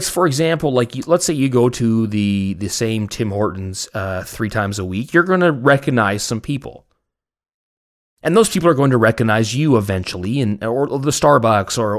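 A man with a Canadian accent hosting a podcast, chatting in a casual, rambling way with a joking, self-mocking manner. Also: medium pitch. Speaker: podcast host. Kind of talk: casual, rambling personal chat.

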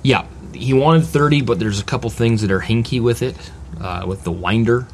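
A man's voice: medium pitch, normal speed. A man hosting a podcast in a casual, jokey, rambling way. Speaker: photography podcast host. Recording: clear background noise.

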